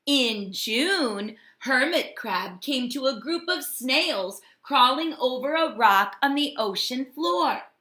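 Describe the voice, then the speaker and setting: A woman teacher reads to young children at an unhurried, deliberate pace. Her voice is bright and fairly high, with the echo of a home kitchen around it. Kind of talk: children's read-aloud lesson. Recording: echoey domestic room.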